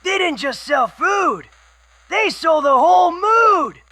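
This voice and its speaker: A high, bright-voiced radio DJ, played by a performer who gushes about pre-war relics. Talks slowly, drawing out each word with excitement. A radio-drama character full of nostalgia. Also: full character voice. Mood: excited. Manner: enthusiastic, animated.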